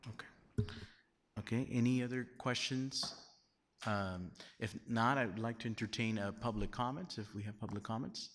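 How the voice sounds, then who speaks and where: Low-voiced male meeting chair. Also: moderate pace, fairly bright tone, slight background noise. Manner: easygoing, hesitant, brief affirmations.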